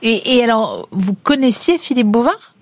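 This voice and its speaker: A woman's voice: on the high side, expressive, moderate pace, dry recording. A woman hosting a call-in radio show, chatting with callers and prompting them with questions. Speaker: radio host.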